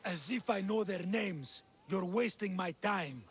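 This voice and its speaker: A man's voice: sits high, animated, husky, exaggerated voice. A man playing a smug, callous slave master and cult villain in a video game, sneering and condescending. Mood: dismissive. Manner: sneering, condescending, unhurried menace. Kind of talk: video game cutscene acting. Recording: music behind.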